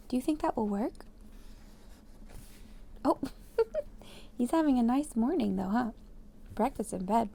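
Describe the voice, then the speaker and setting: A woman's voice: a little high, gravelly, mellow. A woman hosting a children's read-aloud, telling the story expressively and putting questions to young listeners. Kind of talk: storybook narration with asides to children.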